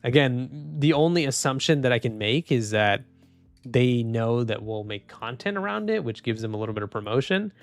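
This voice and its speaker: Soft-spoken calm man streaming. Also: medium pitch, normal speed. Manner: soft-spoken, calm, trailing off with restarts and small laughs. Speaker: streamer reading live chat. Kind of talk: casual live-stream chat. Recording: dry close mic, faint music underneath.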